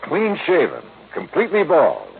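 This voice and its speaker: A man's voice: on the high side, huge pitch swings, moderate pace, vocal fry, heavily acted. A man playing a shrewd, wry insurance investigator in a 1950s radio detective drama. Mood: accusing, firm. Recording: tinny old radio recording, faint noise.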